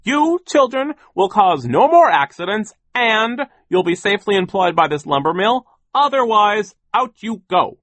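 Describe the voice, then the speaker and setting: A man voicing a gruff, impatient male boss in an audiobook story, barking dismissively and emphatically. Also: high-pitched, sing-song, a little slow, few pauses, a bit bright, no room sound, pitched above the performer's own voice, exaggerated voice. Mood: stern, dismissive.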